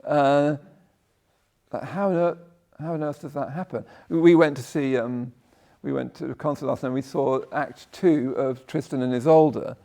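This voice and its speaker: A man with a croaky voice giving a conversational Buddhist talk, often checking in with "yeah?" and breaking off his sentences. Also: mid-range voice, fairly even intonation, normal speed, mellow. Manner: conversational, tag questions, self-interrupting. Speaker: Buddhist teacher. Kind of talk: dharma talk. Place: meditation hall.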